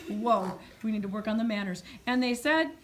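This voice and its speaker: A woman music teacher narrating a puppet show for young children in an expressive storytelling manner, recorded on a phone in a classroom. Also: on the high side, fairly quick. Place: medium-sized school music classroom. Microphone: phone camera a few metres away. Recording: noisy classroom phone video.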